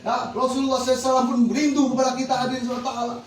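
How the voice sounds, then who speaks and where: A man's voice: very high-pitched, monotone, rapid-fire, a little hiss or hum. A man preaching a fervent, rhythmic sermon to a congregation, repeating set phrases. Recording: echoey hall recorded from far off.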